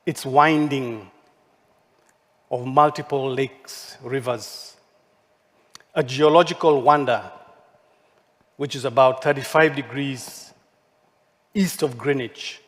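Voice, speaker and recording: Slow, measured man speaking English as a second language. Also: medium pitch, steady tone. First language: not English. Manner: slow, deliberate, rhetorical questions. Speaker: architect giving a keynote talk. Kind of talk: measured poetic lecture. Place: large hall at a forum. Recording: long hall reverb, stage mic.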